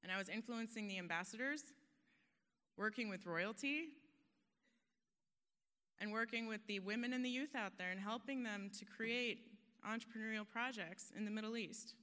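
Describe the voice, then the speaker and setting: A woman's voice: on the high side, moderate pace, pauses often, sharp tone, clean tone. A woman giving an earnest, emphatic motivational talk on a conference stage, now and then laughing at herself. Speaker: conference speaker. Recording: long hall reverb.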